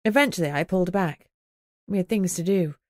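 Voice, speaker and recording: A woman's voice: on the low side, fairly quick, no room sound, clean studio sound. A woman reading scripted first-person audiobook narration.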